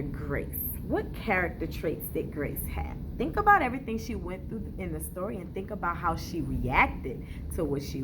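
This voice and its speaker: A woman reading a children's storybook aloud, with expressive, deliberate phrasing aimed at young listeners. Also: on the low side, noisy background. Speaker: reader for a children's literacy program.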